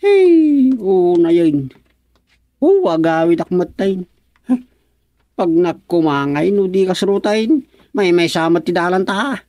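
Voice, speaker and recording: A woman's voice: lowish voice, sing-song, takes it slowly, warm tone, no room sound, studio quality. A woman playing a boisterous, pestering schoolmate in a radio comedy drama. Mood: teasing.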